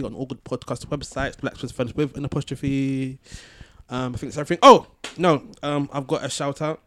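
A man hosting a casual podcast, excitable and slangy, often repeating words as he bantering with friends. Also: medium pitch. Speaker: podcast host.

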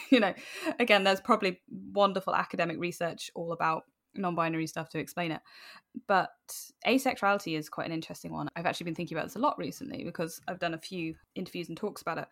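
A British woman talking casually as an interview guest, animated and self-deprecating, often acting out bits of imagined dialogue. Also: lowish voice. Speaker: podcast guest.

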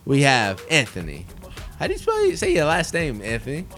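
A man's voice: medium pitch, wildly swooping pitch, normal speed, a bit bright. A playful man in his thirties hosts a laid-back podcast with friends. His voice rises and falls a lot as he jokes through his intro. Faint music plays behind him. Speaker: podcast host. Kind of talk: casual chat over drinks.

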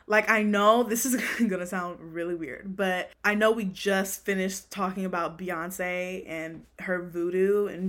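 A young woman hosts a casual podcast, talking in a relaxed, rambling way. She laughs often and keeps things loose, with a voice that sits in an ordinary middle range. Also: mid-range voice. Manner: chatty, laid-back, laughs often. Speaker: podcast host. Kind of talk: casual chat.

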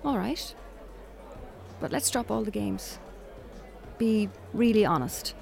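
A woman's voice: medium pitch, lively intonation, slow pace, creaky, darkish tone, exaggerated voice. Guarded girl on a date, dry and teasing, natural radio play chat. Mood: firm. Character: wry, guarded young woman on a first date. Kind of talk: audio drama acting, naturalistic dialogue.

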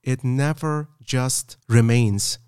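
A man hosting a podcast, talking earnestly with many pauses and fillers. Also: mid-range voice, a little mellow, smooth, dry recording, clean studio sound. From Afghanistan. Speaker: podcast host. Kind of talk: casual podcast conversation. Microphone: podcast microphone.

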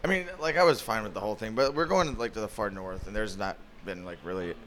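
A man from a touring band answers questions with a slightly low voice. He talks casually and hesitantly, and his phrases fade out. Light background noise is audible. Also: fast talker, fairly bright tone.

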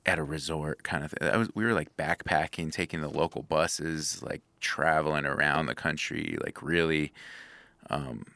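A man's voice: very deep voice, gravelly, pushed and bright, no echo. A man interviewing a guest, talking loosely and rambling with lots of "like.". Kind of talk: casual conversation.

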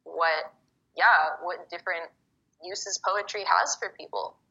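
A young woman from Egypt, a poet guest, talking casually and quickly with lots of "like" fillers. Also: on the low side, steady tone, pushed and bright, slightly rough. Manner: fast, chatty, many fillers like "like". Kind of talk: casual conversation. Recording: muffled phone-like call audio.

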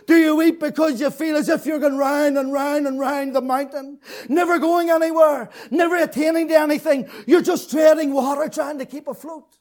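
A man preaching an impassioned sermon, repeating his phrases, swinging from shouted peaks to hushed, tearful lows. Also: fairly even intonation, normal speed. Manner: fervent, repetitive, rising to shouts then dropping low.

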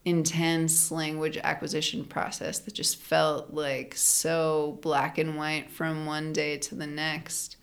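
A young woman chatting as a podcast guest, her voice sitting a little low with a gravelly, fried edge. She explains things loosely, stringing thoughts together with plenty of "like" and "um." It sounds like relaxed conversation, not a lecture. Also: slow, few pauses. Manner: chatty, frequent "like" fillers, laughs while explaining. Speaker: linguist and anthropologist guest. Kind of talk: casual podcast interview.

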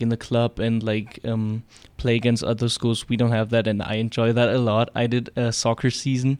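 Even-toned young man with a German accent. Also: mid-range voice, clear, resonant tone, no room sound. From Cologne, Germany. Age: teens. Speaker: interview guest, exchange student. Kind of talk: casual interview answers.

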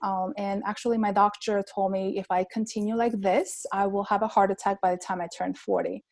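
A woman's voice: medium pitch, steady tone, a little fast, creaky, darkish tone, no room sound. A woman in her thirties from Armenia, telling her story earnestly as a podcast guest with many ums and likes. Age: 30s. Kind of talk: casual interview talk.

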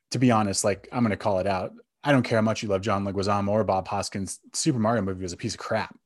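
Lowish guy voice, casual podcast chat, blunt opinions, close dry sound. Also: no room sound. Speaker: podcast co-host.

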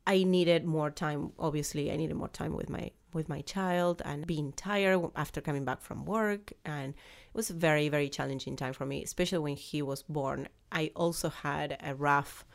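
A woman in her forties speaks with a strong Argentine Spanish accent in a notably low voice. She talks haltingly as a podcast guest, often restarting her sentences and laughing softly between thoughts. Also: steady tone, vocal fry. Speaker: guest, business founder. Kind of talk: conversational interview.

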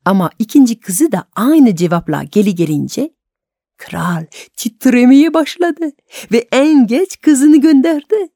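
Mellow, animated woman storyteller. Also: on the high side, unhurried, dry recording, studio quality. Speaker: storyteller on a radio show for adults. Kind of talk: expressive folk tale narration.

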